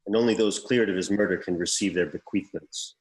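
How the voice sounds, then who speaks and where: Male actor as formal interrogator for sitcom read. Low-leaning pitch, deadpan, stern, procedural. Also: a little fast, close to an everyday voice, poor audio. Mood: formal, businesslike. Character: stern formal male interrogator running lie-detector questioning. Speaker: actor at a virtual table read.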